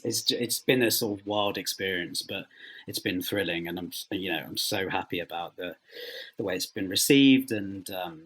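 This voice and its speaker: A man's voice: lowish voice, husky, low-quality recording. A man speaking conversationally as an interview guest, modest and self-effacing. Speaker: interview guest, audio drama writer.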